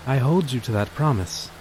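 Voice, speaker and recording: A man playing a courteous, lonely vampire in an ASMR roleplay, speaking softly and formally with hesitant pauses. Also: medium pitch, slight background noise, rough recording. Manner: soft-spoken, formal, polite, hesitant pauses. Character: gentle, courteous old-souled male vampire, lonely former fisherman. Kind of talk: ASMR roleplay audio drama.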